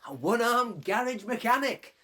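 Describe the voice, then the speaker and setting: An injured miner in a radio drama, with a Northern English accent. His voice is high and husky, rising and falling freely, with a cheeky, anxious, self-mocking way about him. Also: animated, normal speed, sharp tone, full character voice. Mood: cheeky, curious. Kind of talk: naturalistic radio play acting.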